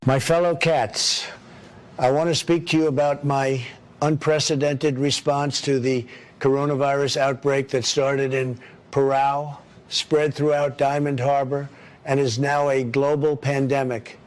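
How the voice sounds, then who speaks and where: Man as male cat politician, pompous, boastful, paranoid, repetitive oratory, satirical audio story, mid-pitched. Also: little pitch movement, unhurried, natural voice. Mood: solemn, self-important.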